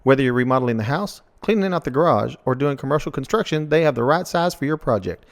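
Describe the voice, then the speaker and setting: A man's voice: mid-range voice, expressive, fast talker. A man announcing a scripted advertisement in a promotional read.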